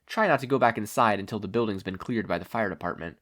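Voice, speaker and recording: A reader voicing an earnest, excitable teenage boy hero with an eager, polite manner in a story. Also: medium pitch, quick pace, close to an everyday voice. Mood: reassuring, eager.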